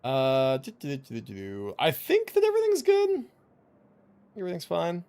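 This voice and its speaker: A man's voice: fairly high pitch, sing-song, moderate pace, a little mellow. A man hosting a gaming livestream, chatting casually in a slangy, rambling way with some swearing. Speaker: livestream host. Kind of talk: casual gaming stream chat. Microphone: streaming microphone.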